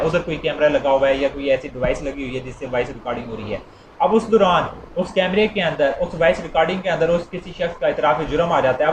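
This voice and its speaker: A man gives an informal explanatory lecture, repeating points conversationally and illustrating them with everyday examples. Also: on the high side. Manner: repetitive, conversational teaching with acted-out everyday examples. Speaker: law lecturer. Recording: echoey room with clear background noise.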